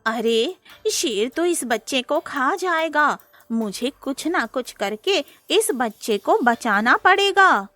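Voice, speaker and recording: Woman as a highish-voiced, protective deer. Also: animated, takes it slowly, pushed and bright, no room sound, soft background music, exaggerated voice. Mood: worried. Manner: earnest, concerned. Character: brave, protective, worried deer who raises a child. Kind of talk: cartoon moral-story acting.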